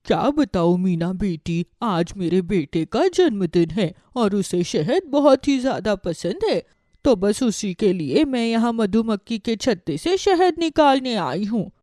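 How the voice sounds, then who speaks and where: A woman's voice: medium pitch, big pitch swings, measured pace, warm tone, dry recording, clean studio sound. A woman voicing a devoted, chatty animal mother in a children's cartoon, earnest as she explains. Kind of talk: Hindi children's cartoon dialogue.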